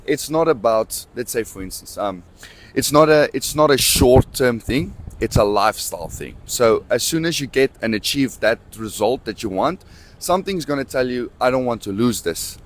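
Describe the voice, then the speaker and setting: A man in his twenties, a bodybuilder, explaining his routine in a steady interview answer. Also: mid-range voice, normal speed. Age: 20s. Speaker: bodybuilding champion.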